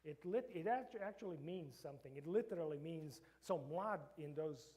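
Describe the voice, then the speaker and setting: A man's voice: fairly high pitch, animated, moderate pace, warm tone. A middle-aged man from Croatia with a Croatian accent, a professor giving an informal lecture to a church group, hesitant with many fillers and restarts. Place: church meeting room.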